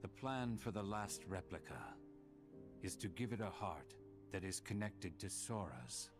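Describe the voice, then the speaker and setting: A coolly formal villain strategist from a video game. The voice is very low and the delivery is measured and stoic. Music is clearly heard underneath. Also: male voice, lively intonation. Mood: grave, explanatory. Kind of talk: dramatic video game cutscene acting.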